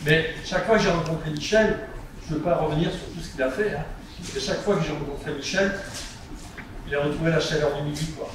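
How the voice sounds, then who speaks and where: A man's voice: on the high side, fast talker. A man from Nice giving an informal, joking tribute speech at a judo ceremony, with many fillers. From Nice, France. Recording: echoey hall, distant mic, audible noise.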